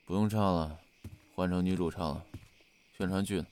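A man's voice: low voice, steady tone, normal speed, darkish tone, close to an everyday voice. A man playing a laid-back, grumbling young idol bandmate, speaking casually in an audio drama. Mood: sleepy grumbling.

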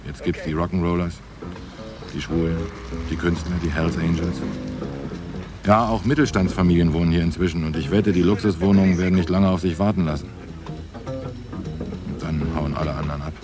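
Deep-voiced, world-weary male detective played by an actor. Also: steady tone, a little fast, pauses often, music in the background, natural voice. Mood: weary, reflective. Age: middle-aged. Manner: reflective, plain-spoken, wry. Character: seasoned, world-weary, tolerant New York police detective. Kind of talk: radio play narration and dialogue.

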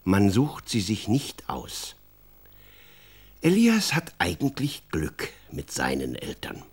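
A man's voice: on the low side, wildly swooping pitch, takes it slowly, slightly rough. A man narrating a scripted children's story, addressing his young listeners directly as a storyteller.

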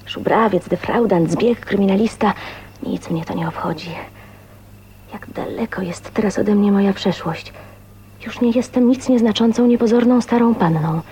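A woman's voice: medium pitch, moderate pace, mellow, lightly acted. An actress playing a shy, wistful woman of twenty-nine in love, speaking intimately and hesitantly in a radio drama. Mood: rapturous resolve. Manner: dreamy, emotional, hesitant phrasing with breathy whispers. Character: shy, dreamy 29-year-old spinster, wistful and newly in love. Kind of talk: radio drama acting, intimate monologue and dialogue. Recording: faint noise, 1980s radio drama.

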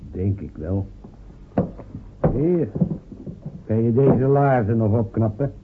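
A man playing a slow, wheedling poor villager. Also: mid-range voice, heavy background noise, close to an everyday voice. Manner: hesitant, wheedling. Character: poor male villager, father of six, fond of beer. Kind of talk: radio drama acting. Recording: humming, phone-like radio recording.

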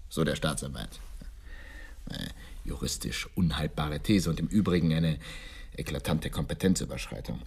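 An actor playing a dry, brisk male investigator in a crime radio drama, speaking in formal, precise phrases. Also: deep voice, darkish tone, husky, exaggerated voice. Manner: formal, precise, pedantic wording. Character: male doctor working police case privately, dry, brisk, precise.

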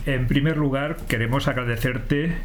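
Guy hosting an interview podcast, medium pitch, bit of background noise. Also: normal speed.

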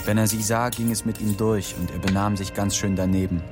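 A man's voice: lowish voice, fairly even intonation, a little fast, music in the background, lightly acted. An actor playing an impatient, prodding man of a vengeful gang, blunt and pressing, in a radio drama. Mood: grim.

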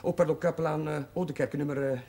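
An actor playing a flustered, caring young chaplain in a television drama, talking in an agitated, halting way. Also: male voice, fairly high pitch, fairly even intonation, gravelly, a little mellow. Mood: flustered, urgent. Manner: agitated, halting, repeating himself. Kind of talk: naturalistic television drama acting. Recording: noisy, hissy old television soundtrack.

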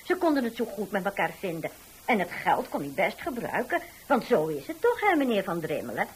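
A woman's voice: mid-range voice, wildly swooping pitch, a little fast, slight background noise, low-quality recording. A woman playing a talkative, eager busybody housekeeper who gossips and relays conversations in a radio drama. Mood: eager, gossipy.

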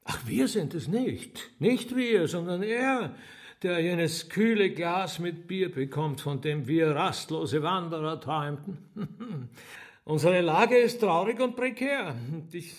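A roguish trickster in a radio play speaks in a rather high voice. He is flowery and mock-courtly, stretching out his hesitations with theatrical relish. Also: animated, slow pace, full character voice. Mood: mock-woeful. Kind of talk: radio drama acting.

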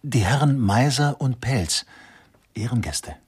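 An actor playing a gruff, baffled policeman in a radio crime play, grumbling in a very raspy voice. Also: mid-range voice, expressive, slow, heavily acted. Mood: puzzled. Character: gruff, baffled male policeman who hates modern art. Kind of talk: 1990s German radio play acting.

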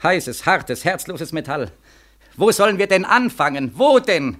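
A man's voice: high voice, very animated, unhurried, a bit bright, heavily acted. A man acting a bitter, desperate war returnee of twenty-five in a 1940s German radio drama, insistent and plaintive. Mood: desperate, accusing. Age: young adult. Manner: plaintive, insistent, with repeated phrases.

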